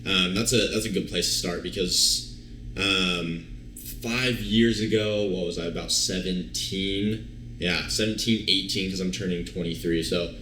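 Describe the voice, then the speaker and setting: Deep-voiced young man with a bright edge. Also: measured pace, slight background noise. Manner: relaxed, rambling, many fillers and restarts. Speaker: guest, online fitness coach. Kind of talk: casual storytelling interview. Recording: roomy echo.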